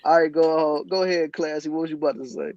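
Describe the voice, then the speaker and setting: A man's voice: on the high side, fairly even intonation, fast talker, darkish tone, dull audio. A man co-hosting a casual talk show, speaking in a laid-back, slangy way. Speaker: podcast co-host. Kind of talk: casual chat.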